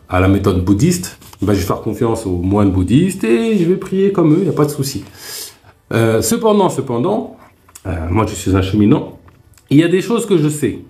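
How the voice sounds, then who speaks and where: A man's voice: on the low side, warm tone, roomy sound. A man hosting a live stream, earnestly explaining his views in a casual spoken monologue.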